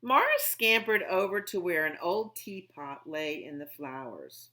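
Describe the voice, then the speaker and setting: Lady doing kids storytime, slowish and super expressive, lowish voice, explains stuff simply. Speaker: children's library storytime host. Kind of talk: storybook read-aloud and songs for young children.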